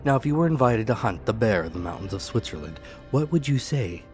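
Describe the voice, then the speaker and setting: A man's voice: on the low side, big pitch swings, a little fast, darkish tone. A man giving a dramatic scripted audiobook narration in the first person. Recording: echoey room, music behind. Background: music.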